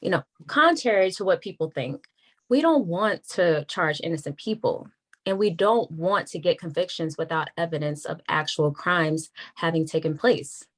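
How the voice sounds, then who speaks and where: A woman playing a wary, frank government attorney in a naturalistic script read, measured and confiding. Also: on the low side, natural voice. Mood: troubled, frank.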